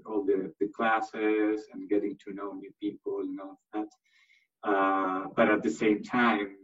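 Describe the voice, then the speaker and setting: A man's voice: fairly low pitch, a bit bright, far from the mic, lo-fi sound. A young man from Chile answering interview questions, speaking hesitantly with many fillers and restarts. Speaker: interview guest, MBA graduate.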